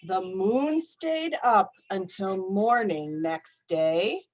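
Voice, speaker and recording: A woman reading picture books aloud to young children, expressive and deliberate, often putting questions to her listeners. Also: mid-range voice. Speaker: storytime reader for young children. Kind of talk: animated picture-book read-aloud to children.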